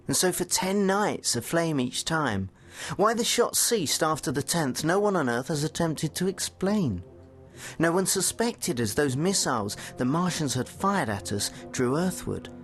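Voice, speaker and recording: An actor playing a reflective narrator of an alien invasion tale, in a measured, solemn, literary manner. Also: male voice, a little high. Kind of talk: dramatized scripted narration.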